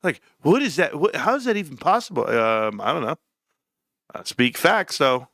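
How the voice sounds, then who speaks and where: A man's voice: fairly high pitch, sing-song, moderate pace, gravelly, a bit bright, dry recording, studio quality. A man with a Southern Californian accent bantering casually as a live stream host, slangy, joking and teasing. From California, United States.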